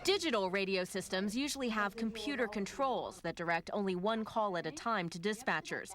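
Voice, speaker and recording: A woman TV news reporter reading a scripted report in a measured, formal delivery. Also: medium pitch, crowd noise.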